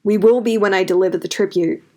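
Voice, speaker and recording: A woman's voice: mid-range voice, fairly even intonation, fast, dark tone, lightly acted. Lady reading as an eager young village hero guy, direct, kinda restless, storybook.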